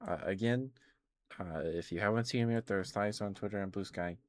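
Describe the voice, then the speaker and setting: A man's voice: lowish voice, a little fast, a little hiss or hum. A man streaming casual ASMR chat about video games, rambling and hesitant as he trails off and corrects himself.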